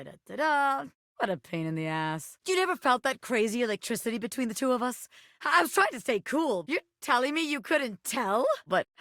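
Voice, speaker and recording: A brash little bobby pin with big showbiz dreams and a crook's swagger for a video game. The voice is bright and punchy, leaping around in pitch, recorded very dry and close. The talk is slangy, streetwise and theatrical, full of cocky tough-guy bluster. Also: no echo. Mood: flirty, confiding. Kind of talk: video game character voice acting.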